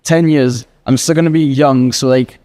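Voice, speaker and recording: Twenty yr old guy, earnest rambly answers, lots of "like", mellow warm voice. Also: medium pitch, fairly even intonation, normal speed. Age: 20s. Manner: earnest, rambling with frequent "like" fillers. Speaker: guest trader. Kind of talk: casual interview answers.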